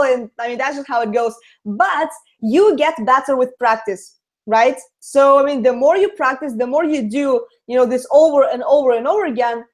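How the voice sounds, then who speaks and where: A young woman with a Russian accent, chatting as a guest in a live interview and filling her talk with "like" and "you know". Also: high-pitched. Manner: chatty, lots of fillers.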